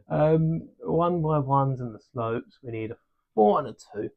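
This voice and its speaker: A man hosting a long live stream from his desk, chatting casually and thinking aloud as he builds, with a relaxed, self-deprecating manner. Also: on the high side, slow pace, vocal fry, narrow, tinny audio. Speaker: livestream host building a brick set. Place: home desk during a livestream. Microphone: desk microphone.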